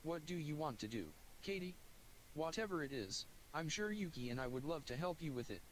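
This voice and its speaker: A man's voice: on the high side, sing-song, a little fast, slight background noise, poor audio. A man voicing a friendly, scatterbrained young woman doctor while reading a visual novel aloud. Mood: chatty.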